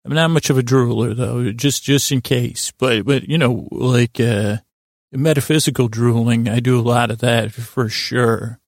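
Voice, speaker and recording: A man hosting a bedtime podcast in a rambling, improvised monologue, stumbling over and restarting his words in a gravelly voice. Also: medium pitch, steady tone, measured pace, dry recording, studio quality. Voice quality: gravelly, creaky voice. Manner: meandering, stumbling and stuttering, trailing off mid-word. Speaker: podcast host.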